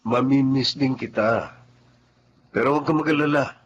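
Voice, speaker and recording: An actor playing a smooth-talking cheating husband, coaxing and reassuring, in radio drama style. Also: male voice, medium pitch, moderate pace, lightly acted. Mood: uneasy, coaxing. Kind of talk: Filipino radio drama acting. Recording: slightly dull audio, faint background noise.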